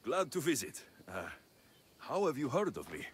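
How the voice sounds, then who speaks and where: An actor playing a modest, good-natured young mercenary hero in a video game, with a humble, slightly bemused, reassuring manner. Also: male voice, mid-range voice, very animated, normal speed, a little hiss or hum, natural voice. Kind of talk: video game cutscene acting.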